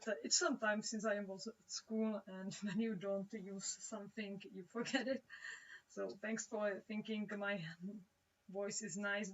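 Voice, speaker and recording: A young woman from the Czech Republic, a native Czech speaker with a Czech accent, chats casually on a drawing livestream and haltingly searches for words. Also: medium pitch. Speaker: livestreaming comic artist. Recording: dull, distant-sounding home microphone.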